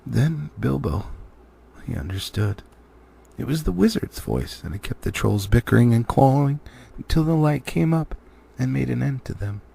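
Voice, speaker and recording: A man's voice: medium pitch, big pitch swings, moderate pace, vocal fry, warm tone, breathy edge. A man narrating a storybook expressively as an audiobook reader.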